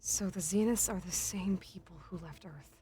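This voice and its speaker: A woman's voice: on the low side, normal speed, warm tone, close to an everyday voice. A woman voicing a determined young huntress. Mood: thoughtful. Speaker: game protagonist.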